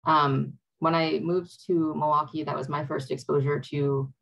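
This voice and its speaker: A young woman from Wisconsin speaking on a video call panel, reflective and hesitant with many pauses. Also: low-pitched, flat delivery, vocal fry, fairly bright tone, rough recording. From Wisconsin, USA. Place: home room.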